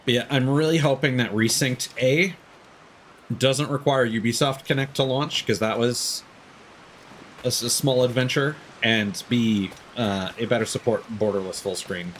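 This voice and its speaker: A man giving casual livestream commentary on a video game, rambling and thinking out loud as he plays. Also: mid-range voice, steady tone, normal speed, a little hiss or hum. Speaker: live streamer playing a video game.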